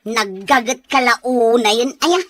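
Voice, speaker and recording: A woman's voice: a little high, takes it slowly, a bit bright, no room sound. A woman with an Ilocano accent playing a nosy, lazy, easily offended village gossip in an audio drama, whiny and needling. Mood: idle, prying. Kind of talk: Filipino audio drama dialogue.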